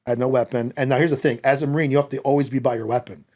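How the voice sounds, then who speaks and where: Fast-talking man telling blunt stories. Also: medium pitch. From United States, born in Italy. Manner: animated, blunt, profane, acts out quoted dialogue. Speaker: podcast guest, former Marine. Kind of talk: casual personal storytelling. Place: small podcast studio, indoors. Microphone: close podcast microphone on a boom arm.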